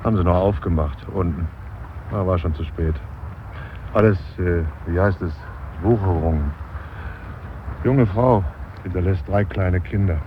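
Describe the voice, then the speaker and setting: A man's voice: deep voice, a little slow, pauses often. An actor playing a blunt, opinionated funeral mourner who chats and breaks off his sentences, in a naturalistic radio play. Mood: grave, chatty. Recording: dull 1970s radio, faint outdoor noise.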